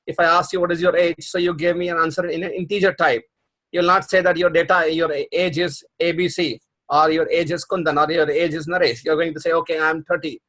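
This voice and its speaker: A man's voice: a little high, little pitch movement, brisk, a bit bright, low-quality recording. A middle-aged man teaching a programming class over a video call, explaining patiently with many restarts. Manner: explanatory, repeats himself, frequent restarts and fillers. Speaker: programming instructor. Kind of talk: live online class explaining concepts.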